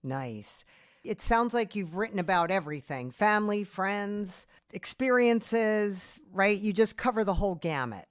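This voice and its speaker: Chatty encouraging lady host, bouncy pitch, dead dry mic. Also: lively intonation, unhurried, no room sound. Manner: upbeat, encouraging. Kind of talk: interview hosting.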